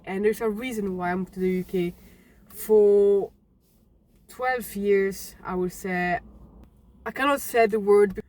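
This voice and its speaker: Young woman, unscripted vlog, halting, earnest, mid-pitched. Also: darkish tone. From Belgium. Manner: halting, restarts words, earnest and open. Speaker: fitness vlogger. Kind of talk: unscripted personal story to camera.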